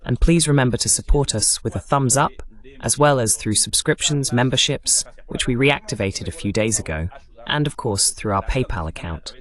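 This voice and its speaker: A man hosting a political talk show, speaking in a formal, measured announcer style as he introduces and moderates. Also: mid-range voice, a bit bright. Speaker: host. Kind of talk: scripted introduction and moderating questions, translated voice-over.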